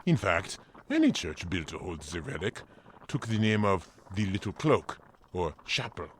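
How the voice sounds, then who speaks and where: An actor playing a kindly, fatherly German village priest who speaks calmly in an audio drama. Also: low-pitched, normal speed, slight background noise. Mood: storytelling. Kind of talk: audio drama acting, storytelling.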